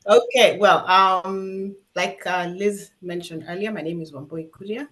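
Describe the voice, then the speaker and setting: A woman with a Kenyan accent and a fairly low pitch talks as an interview guest. The style is informal, spoken self-introduction. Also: steady tone, a little slow, fairly bright tone.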